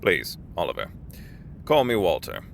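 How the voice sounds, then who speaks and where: Deep, gravelly, courteous executive. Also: male voice, huge pitch swings, a little hiss or hum, exaggerated voice. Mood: considering, courteous. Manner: formal, genteel, deliberate phrasing. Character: courteous older male executive, avuncular, formal, measured.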